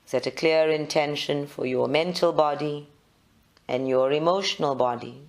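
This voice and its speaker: A meditation leader, giving measured guided instructions in short phrases with long pauses. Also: medium pitch.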